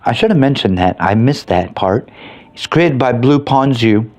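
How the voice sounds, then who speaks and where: A man's voice: medium pitch, lively intonation, normal speed, darkish tone. A man hosting a casual, unscripted game mod review, informal and thinking aloud as he talks.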